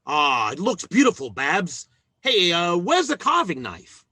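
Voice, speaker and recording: High-pitched, blustering sitcom dad. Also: male voice, wildly swooping pitch, measured pace, bright, forceful tone, no room sound, heavily acted. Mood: eager, bumbling. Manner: flustered, hesitant, bumbling. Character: blustering, eager family man, a sitcom dad. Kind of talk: old-time radio comedy acting.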